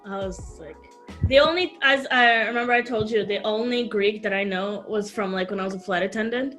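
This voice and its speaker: A woman's voice: mid-range voice, a little fast, a bit bright, faint music behind. A woman in her twenties hosting a casual interview, playful and giggly, laughing often. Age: 20s. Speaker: host.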